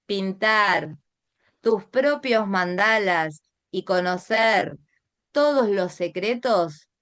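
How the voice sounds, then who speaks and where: A middle-aged woman with an Argentine accent speaks as an art teacher introducing her online workshop. Her voice is smooth and clear, at a medium pitch, and she takes her time as she guides listeners with direct questions. The sound is dry, with very little room in it. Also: fairly bright tone, no room sound. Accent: Argentine Spanish. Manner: guiding, addresses listeners directly with rhetorical questions. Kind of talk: introductory online class presentation.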